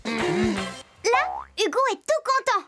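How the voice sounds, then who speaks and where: A woman's voice: very high-pitched, wildly swooping pitch, slow, over loud music, full character voice. A woman voicing a chirpy, playful little storyteller who chats to the viewer in a children's cartoon. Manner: chatty, addresses the viewer. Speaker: narrator.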